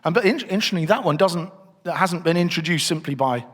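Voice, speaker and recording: A man's voice: fairly high pitch, expressive, fast talker, a bit bright. A middle-aged man giving a conversational church teaching session, thinking aloud as he works through his slides. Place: church hall. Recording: long reverb of a hall.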